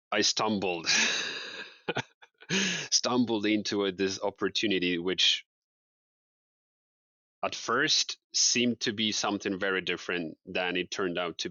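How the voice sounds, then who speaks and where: A man in his thirties from Poland, a guest in a casual interview, relaxed and repeating his words with light laughs. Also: fairly low pitch, no room sound, highs cut off. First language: Polish.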